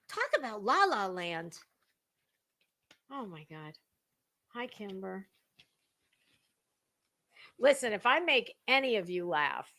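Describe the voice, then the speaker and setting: A woman's voice: mid-range voice, fairly bright tone. A woman hosting a gossip stream, giving a dramatic reading with sarcastic, mocking commentary in a theatrical, sassy way. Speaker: host of a gossip commentary livestream.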